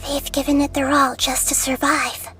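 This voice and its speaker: Game heroine: woman as childlike goddess, very high-pitched, earnest and wise, faint music behind. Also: level tone, moderate pace, close to an everyday voice. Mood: somber, thoughtful. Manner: earnest, pleading, thoughtful. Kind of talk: video game voice acting.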